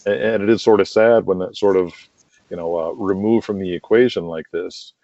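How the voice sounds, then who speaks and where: Chatty guy on a podcast interview, relaxed dark voice, dull lo-fi dry sound. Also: low voice, normal speed, dry recording. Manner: chatty, laughs often. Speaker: podcast guest, wrestling promoter. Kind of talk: casual conversational interview. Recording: muffled lo-fi call audio.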